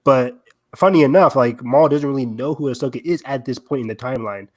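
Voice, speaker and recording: A man's voice: medium pitch, fairly quick. Enthusiastic man chatting on a fan podcast. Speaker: podcast host. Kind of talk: casual fan discussion.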